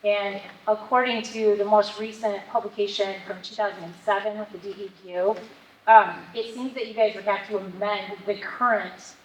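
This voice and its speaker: A woman's voice: mid-range voice, steady tone, moderate pace. A woman at a public meeting asking pointed, persistent questions of a company representative. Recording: echoey meeting hall, faint noise.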